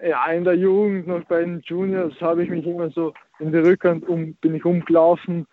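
A teenage man from Austria answers in short, halting phrases, padding them with the same filler words. His voice is fairly high for a man and stays flat. The sound is dry and thin, as if it came over a phone line. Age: teens. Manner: hesitant, repeats filler words. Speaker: young tennis player. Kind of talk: interview answers.

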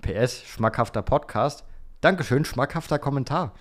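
A man's voice: medium pitch, moderate pace. A young man chatting casually and colloquially as a podcast co-host. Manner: colloquial, slangy. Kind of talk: casual chat about Formula One.

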